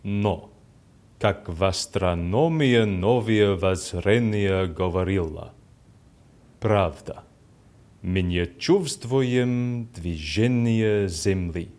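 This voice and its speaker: A low, slow, studious prisoner. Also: male voice, darkish tone. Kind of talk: audio drama, halting read-aloud.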